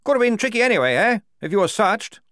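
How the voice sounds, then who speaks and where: A man voicing a blustering, hearty young army major for an audiobook, booming and jovial, tacking "eh?" onto his lines. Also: very animated, fast, fairly bright tone, dry recording, clean studio sound. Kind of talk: audiobook character voice.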